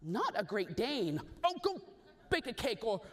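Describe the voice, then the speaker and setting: A man's voice: high-pitched, wildly swooping pitch, unhurried, heavily acted. A man voicing a sassy young woman who talks in slang. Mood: distraught. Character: young woman, scheming then distraught girlfriend.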